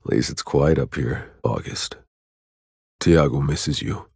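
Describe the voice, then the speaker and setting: Husky, low-voiced, jaded game soldier. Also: male voice, measured pace, a little mellow, no echo, studio quality, full character voice. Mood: somber, subdued. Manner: wry, terse, world-weary delivery. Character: jaded, sardonic male soldier, hardened survivor of alien wilds. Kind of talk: video game character voice lines.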